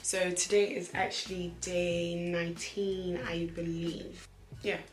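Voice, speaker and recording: Animated young woman, slightly croaky, echoey room. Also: on the low side, slow. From Nigeria. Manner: animated, laughs often, repeats phrases for emphasis. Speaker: vlogger. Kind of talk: casual chatty vlog talk.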